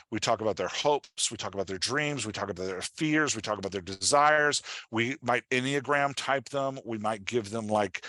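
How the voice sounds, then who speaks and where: A man giving an informal workshop as a writing coach, talking in an animated, conversational way and often checking in with his listeners. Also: fairly low pitch, dry recording. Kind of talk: informal online workshop presentation.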